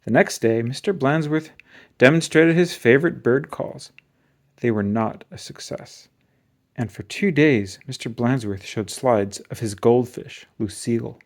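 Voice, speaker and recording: A storybook reader giving an expressive children's read-aloud narration. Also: mid-range voice, measured pace.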